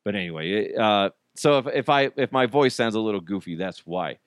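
A man hosting his own podcast in casual chat, his voice raspy and strained from talking a lot, rambling and poking fun at himself. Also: on the low side, animated, fairly quick, no room sound, clean studio sound. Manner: rambling, self-mocking, many restarts. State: hoarse, strained voice. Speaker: podcast host.

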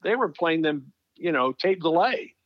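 A man's voice: a little high, lively intonation, measured pace, no echo, lo-fi sound. A middle-aged male sports broadcaster telling stories conversationally as an interview guest, leaning on phrases for emphasis. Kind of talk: conversational storytelling.

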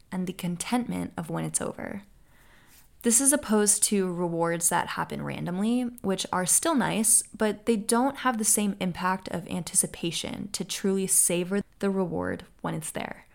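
Upbeat young woman with gravelly voice. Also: medium pitch. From United States. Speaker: podcast host. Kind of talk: solo podcast Q&A.

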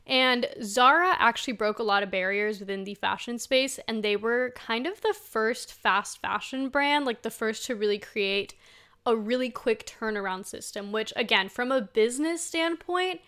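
Female host, twenties, smooth and slightly high voice, informal conversational commentary with emphatic key words. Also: moderate pace, sharp tone. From Spain. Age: 20s. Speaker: host, sustainable fashion commentator. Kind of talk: casual conversational commentary to camera.

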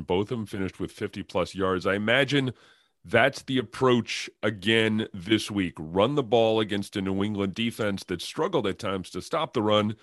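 A man's voice: on the low side, a bit bright, no echo, studio quality. A man hosting a sports podcast, delivering prepared introductions and questions to his guest. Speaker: podcast host.

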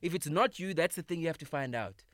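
Thirties man on a studio mic, lively and emphatic podcast guest, slightly raised pitch, very dry sound. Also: fast talker, no room sound. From South Africa. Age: 30s. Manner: animated, emphatic, swears freely, repeats words. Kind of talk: casual podcast conversation. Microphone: studio microphone.

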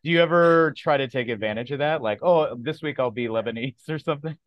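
A man's voice: medium pitch, fast talker, no room sound, dull audio. Jokey male podcast host, dry sound. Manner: relaxed, jokey, frequent "right" responses. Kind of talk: casual chat.

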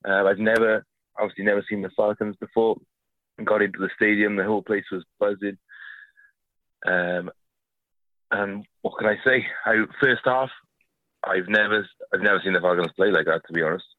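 A man's voice: lowish voice, steady tone, normal speed, long pauses, no echo. A man from the UK leaving an eager, rambling voicemail as a caller. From United Kingdom. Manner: rambling with many restarts, eager. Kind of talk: voicemail monologue. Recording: tinny phone line.

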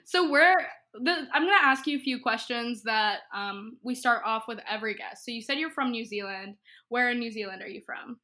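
A woman's voice: a little high, fairly quick, few pauses, pushed and bright. A woman hosting a casual interview, asking questions in a chatty, informal way. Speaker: podcast host interviewing a guest. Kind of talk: casual chat.

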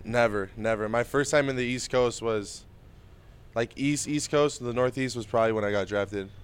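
A man's voice: medium pitch, moderate pace, clear, resonant tone, no room sound. A young adult man, a Spanish native speaker, answering interview questions in an easygoing way with plenty of fillers. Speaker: interview guest, baseball prospect.

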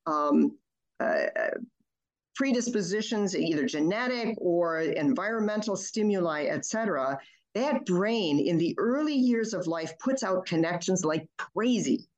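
A woman's voice: medium pitch, expressive, measured pace, a little mellow, low-quality recording. A woman pediatrician from Michigan, German as her first language, explaining child development in an interview with many ums and restarts. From Michigan, USA. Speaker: pediatrician guest.

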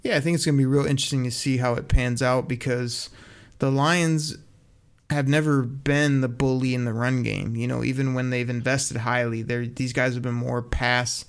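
A man with an ordinary mid-range voice co-hosts a casual sports chat. He talks in a loose, opinionated way and often pauses on fillers. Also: mid-range voice, clear, resonant tone. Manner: relaxed, opinionated, many fillers. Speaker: podcast co-host.

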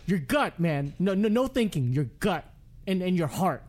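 Male interviewer, notably high voice, casual but emphatic, repeats phrases for weight. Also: big pitch swings, moderate pace, a little mellow. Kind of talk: casual chat.

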